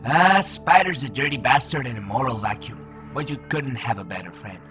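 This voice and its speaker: A man's voice: medium pitch, expressive, normal speed, a bit bright, lightly acted. Man acting breezy nanomachine fog being, music behind. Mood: breezy, teasing. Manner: chatty, teasing, explanatory. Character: breezy, proud male human made of floating nanomachine fog. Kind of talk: voiced comic audio drama.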